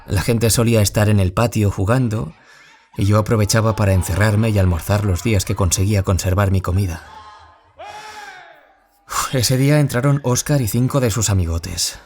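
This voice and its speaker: Actor as low-voiced, wounded young Spanish man. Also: normal speed, darkish tone, a little hiss or hum, lightly acted. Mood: pained. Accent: Spanish, from Spain. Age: young adult. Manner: confessional, dramatic storytelling. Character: wounded young man, former bullying victim, anguished, confiding. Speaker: interviewee telling his story. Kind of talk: scripted audio-drama monologue in interview form.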